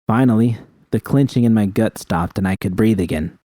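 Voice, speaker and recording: A man's voice: on the low side, moderate pace, dark tone, dry recording. A man reading a scripted first-person story as an audiobook narrator.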